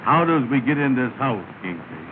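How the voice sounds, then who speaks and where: A man playing a slow-witted, curious lodge member in a 1930 film comedy, with ponderous, ungrammatical dialect speech. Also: medium pitch, big pitch swings, lightly acted. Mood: hesitant questioning. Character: slow, deep-voiced male lodge member, dim but curious. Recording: noisy, tinny 1930 film soundtrack.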